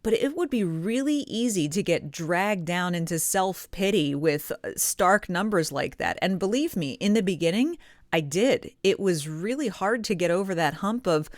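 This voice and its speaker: A woman's voice: mid-range voice, expressive, moderate pace, no room sound, studio quality. A woman giving encouraging, explanatory advice straight to viewers as a video host, stressing her key points. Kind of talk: direct-to-viewer coaching talk. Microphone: close studio condenser microphone.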